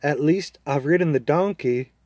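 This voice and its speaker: A man's voice: on the high side, moderate pace, darkish tone, no echo, pitched above the performer's own voice, lightly acted. A man voicing an eager, polite young boy in a storybook reading.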